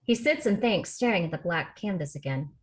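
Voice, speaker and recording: A woman's voice: fairly low pitch, expressive, a little fast, rough recording. A woman reading stage directions as the narrator at a film script table read. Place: remote video call rehearsal.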